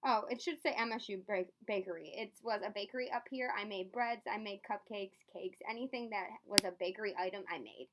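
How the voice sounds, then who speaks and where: A young adult woman speaks as a candidate in a phone interview. Her voice sits at an average pitch for a woman. She answers in a polite, earnest way. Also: fairly quick, hardly any pauses, heavy background noise. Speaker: job interview candidate, university student.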